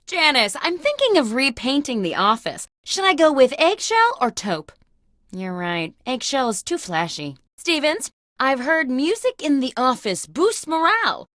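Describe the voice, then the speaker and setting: Casting: comic game boss, self-important and oblivious, highish voice, wildly animated phone manner, dry close recording. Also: female voice, dry recording, exaggerated voice. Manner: bossy, exaggerated, theatrical delivery. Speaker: video game character host. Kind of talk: comic scripted phone monologues.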